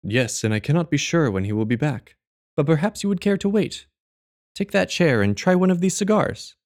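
An actor playing a courteous, brisk gentleman doctor, a detective's companion, in an audio drama. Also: on the high side, lively intonation.

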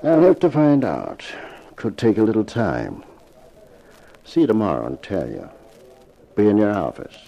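A big menacing mobster with a deep, gravelly voice that slides up and down mockingly. He threatens in tough slang, in the manner of a fifties radio crime drama. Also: big pitch swings, a little slow, long pauses, highs cut off, slight background noise, heavily acted. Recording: vintage 1950s radio recording with music.

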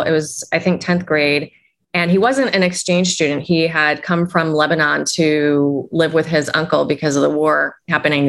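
A woman's voice: low-pitched, a bit bright. A woman executive coach, a guest answering interview questions in a reflective way. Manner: reflective, uses "you know" often.

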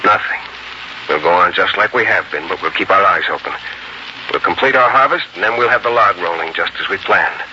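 A man playing a steady, protective young frontier farmer and husband, with earnest 1940s radio drama delivery. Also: low-pitched, fairly quick, highs cut off. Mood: firm, reassuring. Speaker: lead actor and first-person narrator. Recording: noisy band-limited 1940s radio recording.

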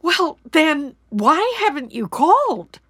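A woman's voice: sits high, sing-song, slow pace, fairly bright tone, dry recording, studio quality, heavily acted. A middle-aged woman playing an anxious, apologetic mother who rambles and frets in a naturalistic audio drama. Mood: apologetic, rambling. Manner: rambling, fretful, self-blaming.

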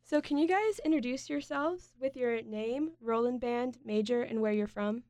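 A woman's voice: a little high, moderate pace, a little mellow, no echo, studio quality. A woman hosting a college radio interview, asking questions of her guests.

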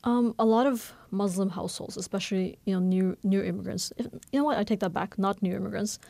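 A woman in her thirties, a novelist who grew up speaking Norwegian and has roots in Bangladesh, talking candidly and quickly in a radio interview with lots of fillers. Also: mid-range voice, vocal fry, dry recording. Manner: fast, candid, animated with many fillers. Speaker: novelist guest.

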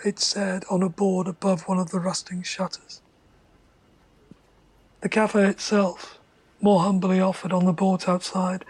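A man voicing a guilty, haunted narrator who speaks in a flat, measured line. His voice is breathy and hushed, and it often sinks to a whisper. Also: high voice, poor audio. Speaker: first-person narrator. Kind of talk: literary narration.

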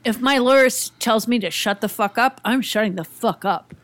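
Higher-pitched woman in wry, blunt banter. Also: normal speed, fairly bright tone, no room sound. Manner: blunt, wry, swears freely. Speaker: political talk show panelist. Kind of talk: casual chat, political banter.

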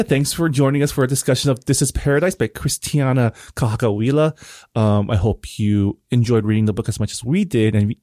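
A man from Hawaii co-hosting a book podcast, speaking in a relaxed, casual way with many fillers. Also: medium pitch, no echo, clean studio sound. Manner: relaxed, filler-heavy, laughs along. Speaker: podcast co-host.

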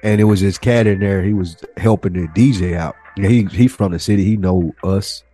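A man's voice: sits low, steady tone, fairly quick, mellow, faint music behind. A man tells stories in casual, slangy podcast chat, acting out what other people said. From Nashville, United States. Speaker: podcast co-host.

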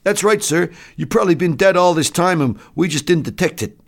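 A plain-spoken enlisted soldier in an audiobook, put on by a male narrator, with a raised pitch. He addresses his superior respectfully in form, yet stays blunt, matter-of-fact and insistent. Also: expressive, brisk, vocal fry.

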